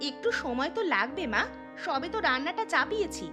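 A woman voicing a sharp-tongued, exasperated daughter-in-law in a cartoon story, scolding and indignant. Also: high-pitched, very animated, a little fast, over music.

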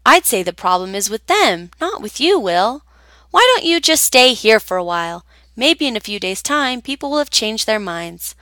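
Woman playing a friendly male witch, reassuring, slightly raised bright voice. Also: fairly high pitch, big pitch swings, normal speed, no echo. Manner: warm and reassuring.

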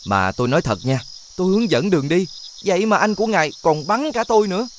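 Animated, high-pitched wise fox. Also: male voice, quick pace, a little hiss or hum. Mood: indignant, urgent. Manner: earnest, insistent, advising. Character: wise friendly male talking fox, urgent guide. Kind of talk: animated fairy-tale dubbing.

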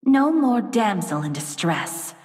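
An actress playing a vengeful dark fairytale princess turned sorceress queen in a video game, haughty, measured and theatrical. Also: mid-range voice, very animated. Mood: brooding, proud. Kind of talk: video game character voice lines. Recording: long reverb, distant from the mic.

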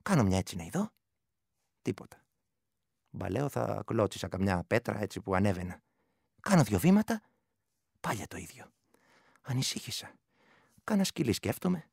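A man's voice: on the low side, sing-song, vocal fry, pauses often, slightly rough, no echo, clean studio sound, exaggerated voice. A man playing a rural Greek village soldier in a radio play, recounting his terror in earthy dialect with a rural accent. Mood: tense, uneasy. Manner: earthy dialect storytelling, shifting between hush and outburst. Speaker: actor. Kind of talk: dramatic first-person storytelling in a radio play.

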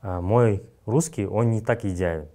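A young man with a Kazakh accent talks as a podcast guest in a fairly low voice. His delivery is blunt and casual, full of slang. He is recorded on a studio lapel mic. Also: normal speed, dark tone. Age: young adult.

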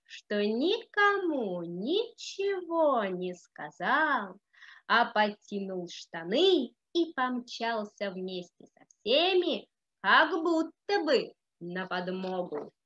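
A young adult woman reading a story aloud in an expressive, dramatic way with deliberate phrasing. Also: on the high side. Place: small room indoors. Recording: dull lo-fi webcam sound.

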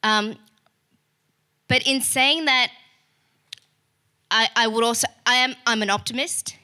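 A woman's voice: a little high, steady tone, slow pace, pushed and bright. A young woman speaking earnestly on a stage panel, hesitant with many fillers. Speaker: panel guest, theatre artist. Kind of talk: panel discussion answer. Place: stage in a large auditorium. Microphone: boom mic on stand.

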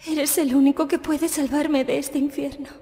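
A woman playing a quiet, devoted young female assassin in an anime fan dub, solemn and measured. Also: high-pitched, level tone. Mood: desperate pleading. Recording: long reverb under background music.

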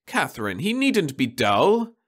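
A man's voice: on the high side, wildly swooping pitch, measured pace, fairly bright tone, voice pushed up, full character voice. A man voicing a stern, earnest middle-aged mother who speaks firmly and insistently. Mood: urgent. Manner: firm, insistent.

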